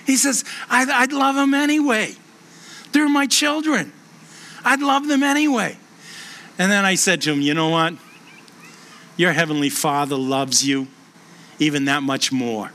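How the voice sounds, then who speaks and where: Bright-voiced Boston pastor preaching. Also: male voice. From Boston, USA. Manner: earnest storytelling, slows and lowers voice for emphasis. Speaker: pastor and hospice chaplain. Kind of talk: sermon.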